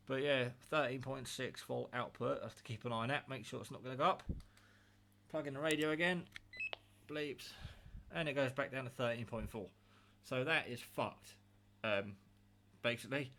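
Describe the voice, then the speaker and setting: A man's voice: mid-range voice, fairly bright tone. Chatty man testing gadgets. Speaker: radio hobbyist demonstrating equipment. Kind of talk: casual hands-on gadget test narration. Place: indoors at a table at home. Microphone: handheld phone camera, operator off screen.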